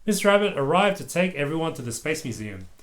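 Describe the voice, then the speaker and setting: Very animated storybook reader. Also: mid-range voice, a little fast. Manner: animated, acts out the dialogue. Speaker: parent reading a storybook aloud to a child. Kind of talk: expressive children's story read-aloud.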